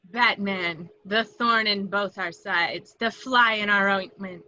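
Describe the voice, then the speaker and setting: A woman's voice: medium pitch, takes it slowly, bright, forceful tone, muffled recording. A woman playing a sly, vengeful villainess with a seductive, dry manner, in an amateur film script read. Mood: coolly seductive. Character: sultry, vengeful, sly female cat-themed villainess.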